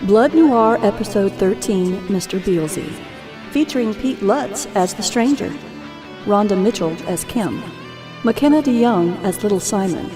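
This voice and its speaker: A woman's voice: medium pitch, expressive, a little slow. A woman narrating scripted credits for an audio drama. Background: guitar music.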